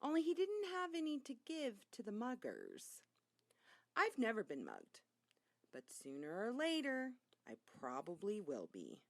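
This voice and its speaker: Female children's book reader, very animated pitch swings, unhurried pace, expressive delivery. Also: no room sound, studio quality. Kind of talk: children's audiobook narration.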